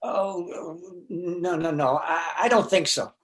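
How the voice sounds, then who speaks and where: High-voiced neurotic jilted husband played by a man. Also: expressive, a bit bright, heavily acted. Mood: reluctant, defensive. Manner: self-deprecating, halting, stammering. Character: neurotic, self-pitying jilted husband, meek and lovelorn. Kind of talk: stage comedy readers theater.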